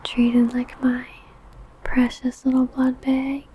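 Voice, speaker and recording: Woman acting a slow, whispering, possessive vampire woman. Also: high-pitched, monotone, mellow, exaggerated voice. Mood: savouring, gloating. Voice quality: breathy whisper. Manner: slow, intimate, savouring phrasing. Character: possessive, predatory female yandere vampire, teasing and menacing. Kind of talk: ASMR roleplay, whispered.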